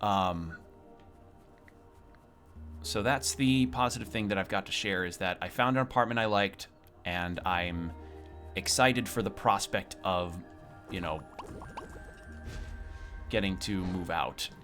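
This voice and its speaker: A man's voice: low-pitched, slow, pauses often, a bit bright. A young man chatting casually on a livestream as he plays a game at home, sounding slightly sniffly. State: slightly sniffly from a cold room. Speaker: game streamer. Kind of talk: casual livestream chat over gameplay. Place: own room at home. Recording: music playing behind the voice.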